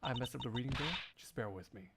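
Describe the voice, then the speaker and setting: A man's voice: medium pitch, fast talker, vocal fry, heavy background noise. A man streaming a live one-man fandub, speaking in casual asides.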